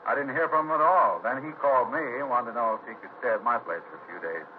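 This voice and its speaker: Through clear hiss and a thin vintage radio band comes a man playing a ship captain. He talks rapidly and conversationally, folksy and matter-of-fact, as in 1950s radio drama. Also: mid-range voice, close to an everyday voice. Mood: doubtful, conversational. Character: plain-spoken, loyal merchant ship captain. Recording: tinny, hissy old radio broadcast.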